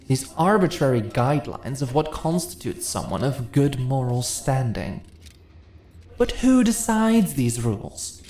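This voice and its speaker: A deep, mellow-voiced immortal mistress of a fantasy dungeon, acted by a woman, sly and commanding. She teases and condescends with slow, theatrical persuasion, recorded in an echoey room. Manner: teasing, condescending, theatrically persuasive, uses pet names. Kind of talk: scripted fantasy ASMR roleplay. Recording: echoey room with faint noise.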